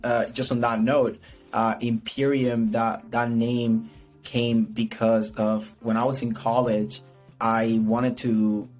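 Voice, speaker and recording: A Puerto Rican man with a native Spanish speaker's accent talks casually as an interview guest. His voice is on the low side and quite flat in pitch. He rambles, repeats words and checks in with "right?", with music behind him. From Puerto Rico. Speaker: podcast guest, PR strategist. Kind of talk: casual conversational interview answers.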